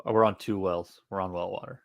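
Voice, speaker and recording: A man co-hosting a podcast, answering in a laid-back, quiet way with short replies. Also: sits low, fairly even intonation, quick pace, creaky, no room sound, dull audio. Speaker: podcast co-host. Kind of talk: casual banter.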